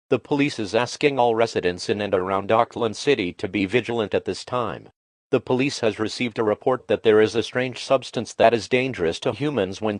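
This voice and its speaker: A man delivering a formal, official emergency broadcast in a deliberate, impersonal manner. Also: on the low side, no echo, studio quality, lightly acted. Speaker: emergency alert announcer.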